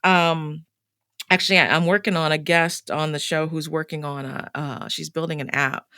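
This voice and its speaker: A woman's voice: sits low, flat delivery, moderate pace, bright, forceful tone, clear, resonant tone, no echo, studio quality. A middle-aged woman hosting a solo podcast, talking candidly with many fillers and restarts, her voice starting to tire. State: voice getting tired. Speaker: podcast host.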